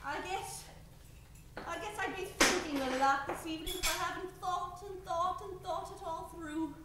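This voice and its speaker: Woman acting as unhinged female killer, very high voice, old-time radio melodrama, menacing, noisy recording. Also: fairly even intonation, moderate pace. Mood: resigned, weary. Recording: echoey, distant, noisy live stage recording.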